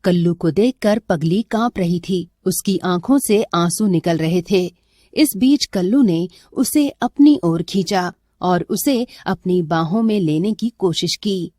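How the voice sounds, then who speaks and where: Slow, mellow woman storyteller. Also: on the low side, no room sound, clean studio sound. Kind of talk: scripted dramatic story narration.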